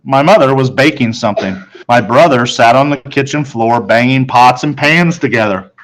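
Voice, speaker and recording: A man's voice: mid-range voice, moderate pace, a bit bright, poor audio. Man narrating a children's storybook.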